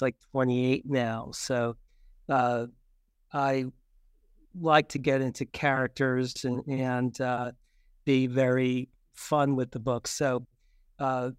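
Even-toned, smooth middle-aged man. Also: mid-range voice, slow pace, no room sound, studio quality. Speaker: guest, children's book author. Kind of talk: casual interview talk.

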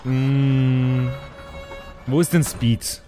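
Male tabletop player, medium register, improvised in-character lines and banter, clear music bed. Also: mid-range voice, little pitch movement, drawn-out words.

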